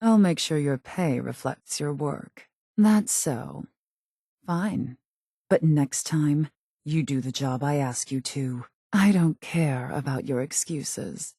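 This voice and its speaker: An actress voicing a cold, calculating raider gang leader in a video game, composed and formal, with measured, quietly menacing delivery. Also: female voice, sits low, slightly rough, dry recording, studio quality, exaggerated voice. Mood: curt, commanding. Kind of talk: video game character voice acting.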